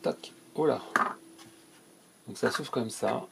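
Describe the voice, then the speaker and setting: A man's voice: mid-range voice, slow, gravelly, very noisy. A man with a cold, unboxing toys for his channel and describing each item in a hesitant, casual way with many fillers. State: stuffy from a cold. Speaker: toy unboxing youtuber.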